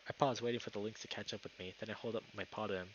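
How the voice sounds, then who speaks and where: A man's voice: fairly low pitch, steady tone, rapid-fire, a bit bright, lo-fi sound, a little hiss or hum. A young man live streaming, moving between casual chat and reading story narration aloud.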